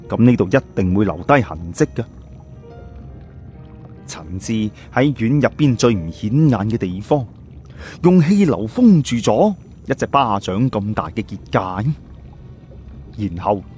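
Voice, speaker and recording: A male narrator tells a serial story with colloquial turns of phrase. His mid-pitched voice moves in a lively, expressive range. Soft music plays underneath. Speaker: audiobook narrator.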